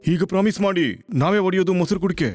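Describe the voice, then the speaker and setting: A pushy cartoon braggart, played by a man in a high-set voice. He taunts and boasts in an exaggerated kids' cartoon delivery, with faint music underneath. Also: fast talker, darkish tone, natural voice. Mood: bossy. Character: boastful, pushy male cartoon show-off, loud-mouthed rival. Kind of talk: exaggerated children's cartoon dubbing.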